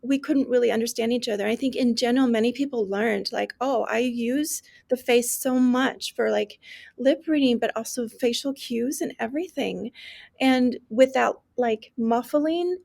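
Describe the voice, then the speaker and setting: Earnest woman guest, fairly high voice. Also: measured pace, vocal fry, a little mellow. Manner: earnest, restarts often, many "like" and "um". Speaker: guest expert on deaf education. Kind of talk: conversational interview.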